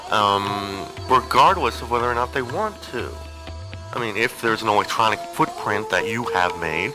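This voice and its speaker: A man's voice: lowish voice, rough recording. A man giving an informal, rambling personal monologue with a hesitant, digressive and wry way of talking. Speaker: storyteller on an audio zine.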